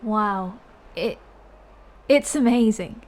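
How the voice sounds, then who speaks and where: A woman playing an easily impressed, gently teasing house guest in an audio drama, speaking in a light, conversational way. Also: mid-range voice, drawn-out words, noisy background. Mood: awed. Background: music.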